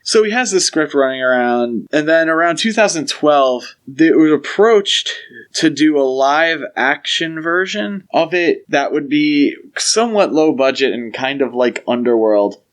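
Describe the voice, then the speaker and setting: A man's voice: on the high side, lively intonation, unhurried. A man co-hosting a casual podcast discussion, explaining things in an opinionated way. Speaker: podcast co-host.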